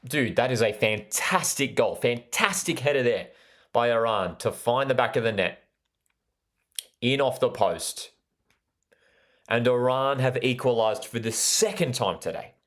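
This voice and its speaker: A man's voice: mid-range voice, unhurried, a bit bright. A man with an Australian accent hosting a live football watchalong, commenting on play and chatting with viewers in an enthusiastic way that repeats phrases for emphasis. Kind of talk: live sports commentary with chat interaction.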